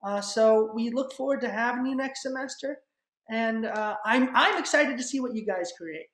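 Young woman, higher-pitched, in echoey room. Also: normal speed. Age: young adult. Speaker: audio drama club organizer. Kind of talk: casual talk on a video call. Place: indoors on a group video call. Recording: echoey room on video call.

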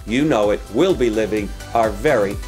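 A middle-aged man giving an emphatic, deliberate motivational talk as a coach and host, with pauses for weight. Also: fairly low pitch, a little mellow, faint music behind. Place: indoors, room lined with bookshelves.

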